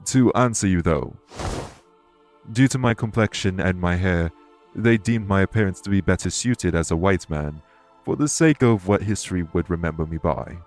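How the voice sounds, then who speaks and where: A man playing a courteous, dour immortal king in an audio roleplay, speaking in a formal, measured way. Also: low voice, lively intonation, faint music behind. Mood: calm, explanatory. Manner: formal, measured, gravely polite. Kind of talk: immersive audio roleplay acting addressed to listener.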